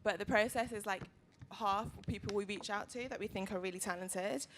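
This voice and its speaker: A young woman, a student, speaks on a panel about the magazine she runs. She talks fast and loosely, leaning on like and kind of. A faint hiss of room noise sits behind her. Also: mid-range voice. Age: young adult. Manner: quick, casual, lots of likes and kind ofs. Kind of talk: panel discussion.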